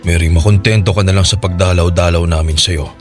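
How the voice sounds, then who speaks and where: Man acting as a fast-talking stern father. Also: low voice, fairly even intonation, soft background music, lightly acted. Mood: firm, distrustful. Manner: firm, scolding, blunt. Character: stern, distrustful father guarding his child. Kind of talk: Tagalog radio drama acting.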